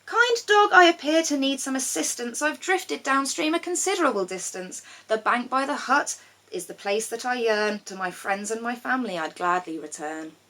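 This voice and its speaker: A woman's voice: a little high, animated, normal speed, a bit bright, pitched above the performer's own voice. A woman voicing a stubborn little frog with formal, melodramatic pleas for a children's storybook. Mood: polite pleading. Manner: earnest, formal rhyming pleas.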